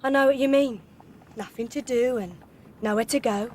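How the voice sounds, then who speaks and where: Actress as high-voiced streetwalker. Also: female voice, normal speed, darkish tone, slight background noise, natural voice. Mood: forward, friendly. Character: chatty, forward woman of the night streets. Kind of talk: radio drama acting.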